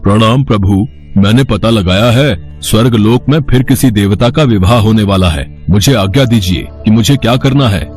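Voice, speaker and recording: A man's voice: on the low side, moderate pace, darkish tone, faint music behind, natural voice. A man voicing a loyal mouse servant with a deferential, explanatory way of talking. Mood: dutiful. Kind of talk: animated devotional story dialogue.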